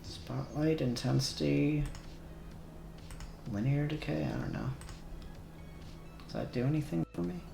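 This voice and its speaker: A man's voice: fairly high pitch, unhurried, gravelly, pauses often, music in the background. A man co-hosting a casual livestream, muttering to himself and trailing off as he works. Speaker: livestream co-host. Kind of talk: casual livestream chat while working. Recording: echoey room.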